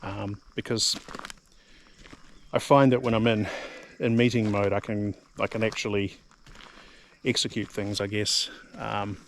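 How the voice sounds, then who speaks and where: Rambling New Zealand man, lower voice. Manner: halting, lots of ums and repeated words. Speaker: vlogger. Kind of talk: casual rambling monologue. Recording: faint background noise.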